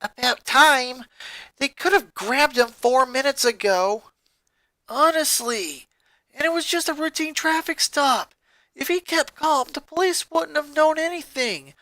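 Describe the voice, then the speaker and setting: A man voicing an excitable, opinionated teenage boy in a fan fiction reading, speaking loudly and emphatically. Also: very high-pitched, a little slow, no room sound, pitched above the performer's own voice, heavily acted. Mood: excited, ranting.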